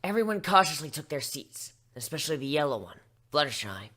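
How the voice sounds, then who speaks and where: Animated man reading a story. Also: on the high side, a little fast. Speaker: amateur dramatic story reader. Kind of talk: first-person scripted narration.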